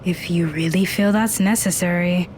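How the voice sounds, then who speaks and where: A woman's voice: on the low side, fairly even intonation, normal speed, darkish tone, a little hiss or hum, natural voice. A woman playing an aloof, critical young woman in an audio drama, with a dry, dismissive manner.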